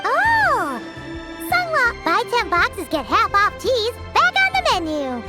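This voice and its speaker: A woman voicing a tiny, excitable fairy companion girl in a video game, squeaky, cheeky and exclamatory. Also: slow pace, over music, full character voice.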